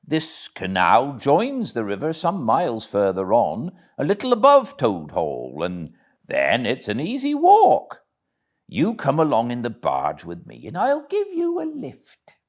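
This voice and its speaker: A man's voice: mid-range voice, huge pitch swings, measured pace, voice pushed up. A man voicing a big, stout, friendly barge woman in a storybook, polite and obliging.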